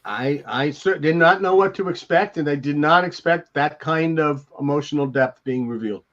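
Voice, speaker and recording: An older American man speaks on a lo-fi, muffled call. His voice sits slightly high for a man. He reminisces and reads aloud, pausing and feeling his way through each thought. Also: a bit bright. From Beverly Hills, California. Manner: halting, reflective, anecdotal. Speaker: podcast co-host. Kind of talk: discussing and reading from a memoir. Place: indoors at home, on a video call. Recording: dull lo-fi video call audio.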